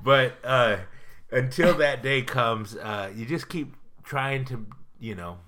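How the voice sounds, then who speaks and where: A man's voice: mid-range voice, slow, sharp tone. A man in his fifties, co-hosting a casual chat, joking and rambling with fillers and frequent laughs. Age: 50s. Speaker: podcast co-host.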